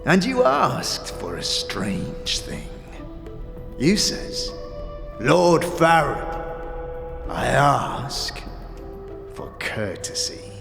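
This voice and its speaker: A withered old collector lord from a fantasy audio drama, cajoling and bitter. He drags his words out very slowly in a gravelly, creaking voice that swings widely in pitch, with reverb around it. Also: sing-song, heavily acted. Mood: mocking, wary. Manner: theatrical, halting, drawn-out phrasing with muttered asides. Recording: roomy reverb, music behind.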